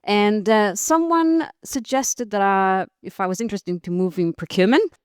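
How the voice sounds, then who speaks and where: A woman from Costa Rica talks through her career as a podcast guest. Her voice is smooth and resonant, and she laughs lightly and often, with plenty of fillers and restarts. The sound is dry, with no room around it. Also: medium pitch, normal speed, a little mellow, no echo. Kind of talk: interview conversation.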